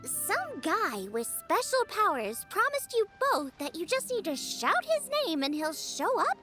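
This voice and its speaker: A woman's voice: extremely high pitch, very animated, a little slow, sharp tone, soft background music, heavily acted. A woman voicing an eager, earnest young girl chef in an anime video game, with a girlish voice. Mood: puzzled.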